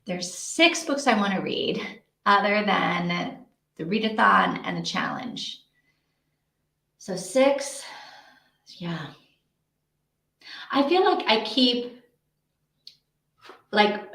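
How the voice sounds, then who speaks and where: A woman hosts a relaxed-format livestream chat in an average-pitched voice. She talks casually and ramblingly, working out her thoughts aloud. The room around her gives the voice a clear echo. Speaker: host of a live reading sprint stream. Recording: echoey untreated room.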